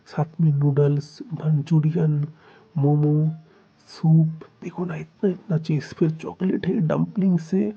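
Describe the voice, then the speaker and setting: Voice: medium pitch, mellow, slightly rough, lo-fi sound. A storyteller voicing a young man who pleads with and then confronts his partner. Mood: coaxing. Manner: coaxing, then hurt and intense. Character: young man, doting then possessive lover.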